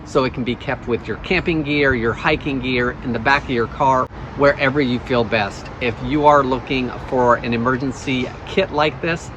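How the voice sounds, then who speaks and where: A man's voice: mid-range voice, normal speed, few pauses, dull audio, background noise. A man giving a casual product review, explaining features plainly, step by step.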